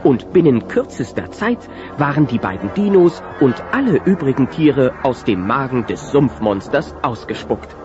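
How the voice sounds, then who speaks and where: A man's voice: mid-range voice, sing-song, normal speed, few pauses, music in the background. A man narrating a radio play as a scripted storyteller. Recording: dull cassette transfer.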